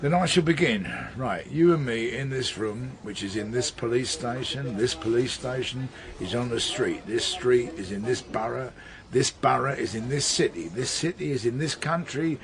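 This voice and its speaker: A man's voice: medium pitch, animated, moderate pace, close to an everyday voice. A British man playing an opinionated, wry middle-aged police detective in a radio drama, with an insistent, mock-pompous way of talking. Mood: pedantic, insistent. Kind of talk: naturalistic radio drama dialogue.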